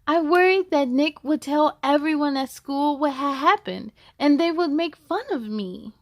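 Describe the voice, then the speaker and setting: Cheerful lady doing kids storytime, really high voice, super expressive, dry close sound. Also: unhurried, dry recording. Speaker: host of a children's read-aloud show. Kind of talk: animated children's storytime reading.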